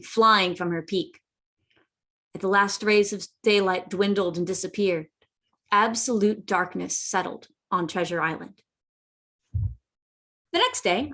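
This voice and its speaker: A woman's voice: medium pitch, a bit bright. A woman playing an earnest, plucky boy adventurer who narrates a radio play dramatically. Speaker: narrator and lead character.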